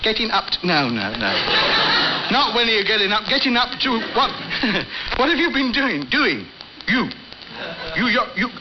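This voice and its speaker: A pompous, easily exasperated British radio hobbyist from a vintage comedy, comes through very noisy shortwave. His voice is rather high and swoops up and down with fussy self-importance. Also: very animated, a little slow, muffled recording.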